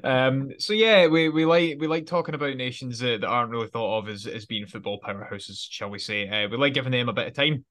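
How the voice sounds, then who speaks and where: A man's voice: medium pitch, a little fast, hardly any pauses, fairly bright tone. A man co-hosting a football podcast, chatting in a friendly way and often repeating words as he restarts. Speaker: podcast co-host. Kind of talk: casual podcast chat.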